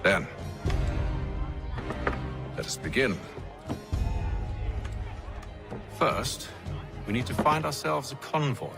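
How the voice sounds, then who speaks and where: A man playing a composed, calculating British commander in a video game, speaking formally with measured authority. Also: mid-range voice, animated, creaky. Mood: confident briefing. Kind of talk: video game dramatic acting. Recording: game audio with music behind.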